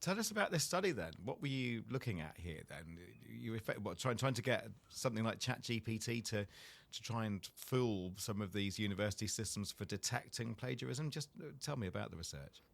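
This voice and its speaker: A man hosting a tech podcast from a small studio, with a slightly croaky, low, gravelly voice and a chatty, jokey manner. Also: lively intonation, a little fast. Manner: chatty and jokey, with playful asides. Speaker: podcast host.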